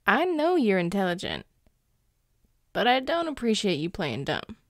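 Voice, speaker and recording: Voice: mid-range voice, no echo, exaggerated voice. An actor playing a grumpy but caring werewolf boyfriend in an intimate audio roleplay, dry and exasperated, with sighs. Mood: grumpy, reluctant. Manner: sighing, dry, exasperated, teasing.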